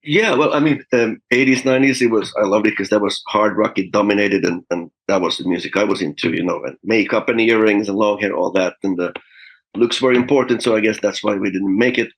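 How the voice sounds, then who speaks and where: Man, fifties, husky, somewhat low voice, relaxed storytelling, fillers. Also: fairly quick, low-quality recording. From Finland. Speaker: guest musician. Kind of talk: casual interview talk.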